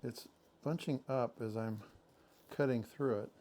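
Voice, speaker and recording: A man talking through a do-it-yourself boat project as he works, thinking aloud in halting, self-correcting bits. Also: mid-range voice, steady tone, warm tone. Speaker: boat owner doing a DIY project. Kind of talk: casual DIY narration while working.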